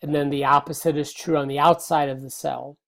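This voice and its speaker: A middle-aged male biology teacher giving a recorded slide lecture, slightly hoarse, relaxed and explanatory with many fillers and restarts. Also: fairly high pitch, a little fast. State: slightly hoarse, clearing throat.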